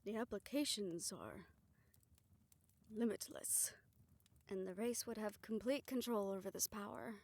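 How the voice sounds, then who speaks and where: A woman performing a scripted audio roleplay, voicing several characters in a soft, hushed way that often drops to a whisper. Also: mid-range voice, a little slow, pauses often.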